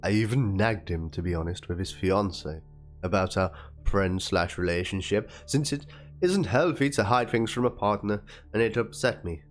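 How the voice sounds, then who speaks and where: Low-pitched man doing a dramatic reading over music. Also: normal speed. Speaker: narrator reading aloud. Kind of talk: dramatic reading of a written chat log and document. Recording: music under the voice. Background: instrumental background music.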